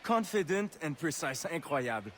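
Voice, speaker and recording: A man's voice: on the high side, very animated, moderate pace, slight background noise. An actor playing an eager, lovestruck frontiersman with a French accent in a video game. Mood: smitten, admiring. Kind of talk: video game cutscene acting.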